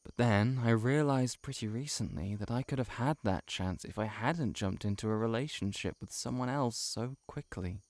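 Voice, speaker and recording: A man playing a shy, heartbroken friend in an intimate audio roleplay, confiding haltingly to the listener. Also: mid-range voice, a little mellow, no echo, natural voice. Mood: tentative, tender. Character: shapeshifting monster posing as a shy, heartbroken male friend.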